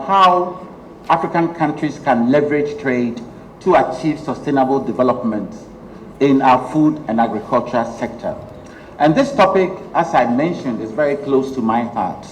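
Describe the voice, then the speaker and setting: A middle-aged man from Ghana with a Ghanaian accent, giving a measured public lecture full of ums. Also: mid-range voice. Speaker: guest lecturer. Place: lecture hall. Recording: echoey hall, faint noise.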